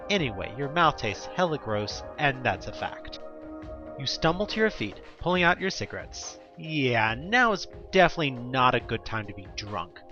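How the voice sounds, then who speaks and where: A man's voice: fairly high pitch, bright, forceful tone, dull audio. A man narrating an audio drama as a scripted second-person story, with theatrical, emphatic storytelling.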